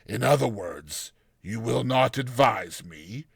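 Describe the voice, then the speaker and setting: A man playing an aged, world-weary necromancer in a dramatized fantasy story, speaking solemnly and deliberately in archaic language. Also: medium pitch, animated, vocal fry, slightly rough, no room sound, clean studio sound, heavily acted. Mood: dry challenge.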